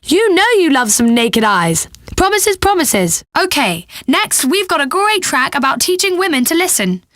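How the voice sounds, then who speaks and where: Game radio host: sardonic young female pop DJ, bubbly, highly animated delivery, bright tone, tongue-in-cheek. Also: dry recording, studio quality, exaggerated voice. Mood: breezy. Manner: breezy, tongue-in-cheek, deadpan asides. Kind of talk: satirical game radio DJ patter.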